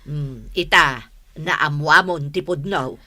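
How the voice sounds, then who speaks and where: A woman's voice: sits low, takes it slowly, bright, forceful tone, exaggerated voice. A woman with an Ilocano Filipino accent playing a nosy, moralizing aunt in a radio drama. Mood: disapproving.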